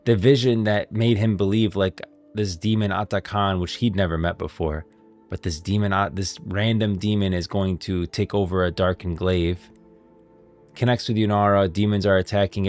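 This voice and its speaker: Guy with a lowish voice breaking down game lore on stream, emphatic, bit of music in the back. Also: steady tone, normal speed, a little mellow. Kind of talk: lore analysis, part scripted, part off-the-cuff commentary.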